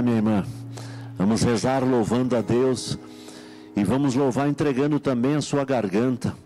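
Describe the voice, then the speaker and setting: A man hosting a late-night call-in prayer show, answering a caller with calm murmurs and praying over her in a slow, solemn way. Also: mid-range voice. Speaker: radio prayer host.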